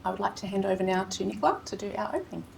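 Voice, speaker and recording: A woman with a mid-pitched voice delivers a prepared formal address to an audience. She is measured and official in manner as she opens an event. Slight noise sits behind her. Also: expressive, creaky. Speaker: event host giving acknowledgement of country. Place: seminar room with audience.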